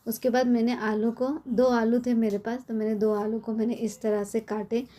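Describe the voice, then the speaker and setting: A middle-aged woman with a hoarse, cold-worn voice, chatting casually as she explains a home recipe step by step in her kitchen. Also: fairly high pitch, little pitch movement, moderate pace, mellow. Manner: chatty, explains step by step with frequent tag questions. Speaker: cooking channel host. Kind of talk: casual cooking tutorial.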